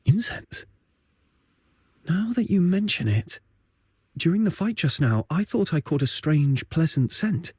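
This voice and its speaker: A man voicing a thoughtful, reserved young adventurer in a video game, with a breathy, husky voice. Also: fairly high pitch, sing-song, a little slow, long pauses, a little mellow, dry recording, heavily acted. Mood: curious, pondering. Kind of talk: video game character acting.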